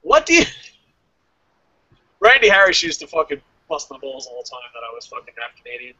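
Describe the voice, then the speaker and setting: A man's voice: fairly high pitch, brisk, slightly rough, dry recording, rough recording. A man hosting a casual wrestling review show, joking and swearing freely as he reads out listener comments. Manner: profane, joking, animated.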